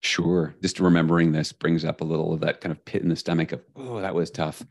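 A man being interviewed as an author on leadership, talking in an energetic, emphatic way. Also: a bass voice, fairly quick, no room sound, studio quality. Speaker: podcast guest, leadership author. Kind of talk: casual interview talk.

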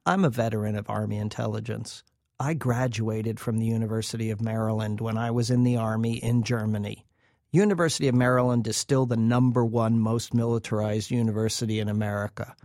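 A man's voice: on the low side, fairly even intonation, brisk, darkish tone, no room sound, studio quality. A man speaking as an expert interview guest, explaining in an emphatic way and repeating phrases for weight. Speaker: interview guest, researcher presenting a study. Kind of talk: interview commentary.

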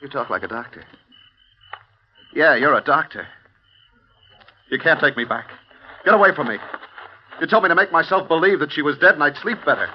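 A man's voice: fairly high pitch, lively intonation, a little fast, long pauses, lightly acted. An actor playing a deranged escaped male mental patient, panicked and lashing out, in 1950s radio drama acting. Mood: frantic. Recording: lo-fi old radio broadcast with hiss.

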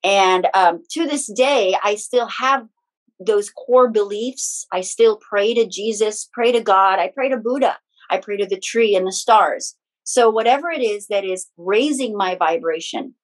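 Middle-aged woman, talking openly and chattily as a guest on a podcast interview. Her voice sits at an ordinary pitch for a woman, neither high nor low. She tells her story in a loose, conversational way. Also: unhurried, low-quality recording. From Philippines. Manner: chatty, frank, personal anecdotes with small laughs. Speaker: guest, spiritual teacher and author.

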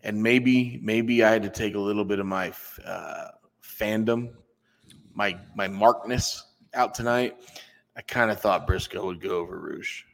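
A low, gravelly man co-hosting a podcast, full of folksy jokes and halting restarts. His voice carries a long reverb tail. He sounds relaxed and offhand. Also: slight background noise. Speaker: podcast co-host. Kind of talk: casual wrestling review chat.

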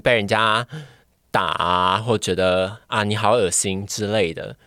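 A woman's voice: extremely low pitch, fairly even intonation, measured pace, a bit bright, dry recording, clean studio sound. A woman with a Taiwanese Mandarin accent chatting casually as a podcast guest. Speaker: podcast guest, trainee voice actor. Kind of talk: casual chat.

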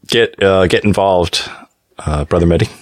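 Lower-voiced man chatting, dry sound. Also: moderate pace, no echo. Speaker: podcast host. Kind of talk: casual chat.

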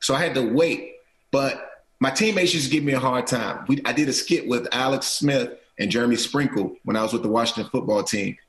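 A man's voice: mid-range voice, brisk, reverberant. A middle-aged man, an actor and producer, talking earnestly as an interview guest, restarting phrases and often checking in with "right?". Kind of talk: casual interview talk.

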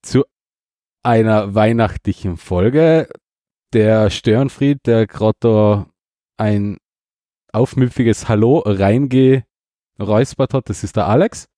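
A man's voice: fairly low pitch, slow pace, mellow, dry recording, clean studio sound. A man with an Austrian accent hosting a podcast in casual, rambling, jokey chat. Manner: jokey, self-mocking. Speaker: podcast host.

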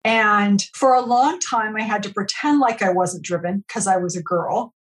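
Woman guest on a podcast, middle-aged, earnest, talks it through slowly and thoughtfully. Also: medium pitch. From California. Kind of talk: podcast interview, reflective storytelling.

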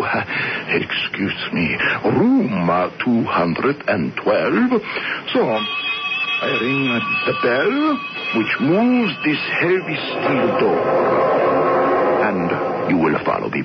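An effusive Italian doctor swoops wildly in pitch and speaks slowly, with an Italian accent. Courtly and boastful, he is a radio drama figure on a dull old broadcast. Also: noisy background, full character voice. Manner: courtly, florid, boastful, halting. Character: effusive, proud Italian male asylum doctor. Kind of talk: 1970s radio drama acting.

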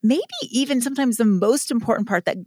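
A woman in her forties hosting a podcast interview, animated and enthusiastic. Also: fairly high pitch, fast, no room sound, studio quality. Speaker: podcast host. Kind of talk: casual interview.

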